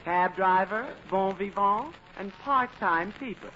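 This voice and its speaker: A woman's voice: mid-range voice, takes it slowly. A woman giving scripted, wry and playful comic narration for a vintage radio show. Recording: muffled, noisy old radio recording.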